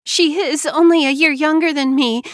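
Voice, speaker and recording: A woman narrator voicing a manipulative young wife who pleads in a high, whiny little-girl voice through sobs. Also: fairly even intonation, moderate pace, no room sound, studio quality, close to an everyday voice. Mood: plaintive. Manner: high little-girl whine, sobbing pleas.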